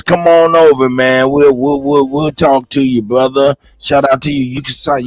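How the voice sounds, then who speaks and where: A man hosting a livestream, chatting casually in slang and repeating his phrases as he gives shout-outs. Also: mid-range voice, no room sound. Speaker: live stream host.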